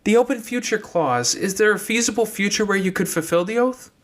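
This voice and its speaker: An earnest young woman as a male narrator plays her in an audiobook story. Her pitch is lifted well up, and she is probing and persistent, like an advocate. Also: a little fast, fairly bright tone. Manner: probing, insistent.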